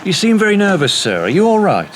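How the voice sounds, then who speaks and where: A man's voice: sits high, huge pitch swings, quick pace, a little hiss or hum. A man playing a matter-of-fact train ticket inspector in a horror audio drama, casual and politely official. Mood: businesslike.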